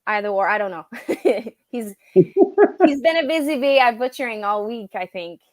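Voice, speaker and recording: A woman's voice: fairly high pitch, animated, moderate pace, no room sound. A French Canadian woman whose first language is French, co-hosting a live stream in casual chat, chatty and laughing easily as she hunts for words. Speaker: co-host of a homestead live stream.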